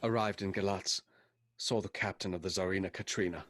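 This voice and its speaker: Low, grave journal-reading man, an actor's role. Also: steady tone, brisk, noisy background, natural voice. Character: sober, anxious Englishman reading his journal aloud. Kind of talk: radio play narration.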